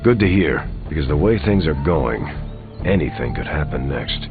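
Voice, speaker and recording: A super deep gravelly hero teammate in a game, reassuring, muffled narrow sound w music. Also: male voice, very animated, normal speed, exaggerated voice. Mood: steady, wary. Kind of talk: video game voice acting.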